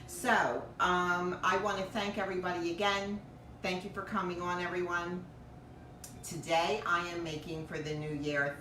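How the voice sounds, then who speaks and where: A middle-aged woman with a loud, deep, low-pitched voice hosts a chatty, rambling cooking livestream. She talks from a distance in an echoey home kitchen. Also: noisy background. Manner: chatty, rambling, thanks viewers often. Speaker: cooking show host. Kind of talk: live-streamed cooking demo chat.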